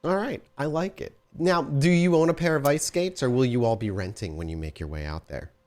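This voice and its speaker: A man's voice: mid-range voice, fairly quick, a little mellow. A man running a tabletop role-playing game as storyteller, describing scenes and asking the players questions. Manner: descriptive, asks players questions with many fillers.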